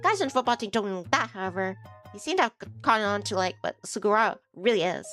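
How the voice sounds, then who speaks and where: Woman, game streamer, casual read-aloud narration, mid-pitched, faint music behind. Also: animated, quick pace, a bit bright. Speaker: streamer reading a visual novel aloud.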